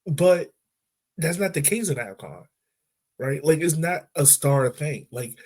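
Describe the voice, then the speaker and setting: A man's voice: fairly high pitch, expressive, a little slow, a little mellow. A man co-hosting a casual sports podcast, talking loosely and filling his points with "like" and "right?". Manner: laid-back, chatty, lots of "like" and "right?". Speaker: podcast co-host.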